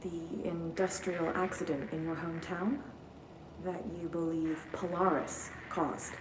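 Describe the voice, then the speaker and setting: A woman playing a calm, detached psychiatrist in a game, asking measured, probing questions. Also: lowish voice, natural voice. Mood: composed, probing. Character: calm, clinical female psychiatrist questioning a patient. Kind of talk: video game cutscene acting. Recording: roomy, distant, noisy.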